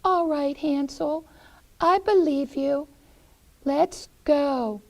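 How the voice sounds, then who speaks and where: Woman putting on a little girl, high squeaky voice, timid, pleading, storybook. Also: very slow, vocal fry, heavily acted. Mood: scared, pleading. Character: scared little girl Gretel.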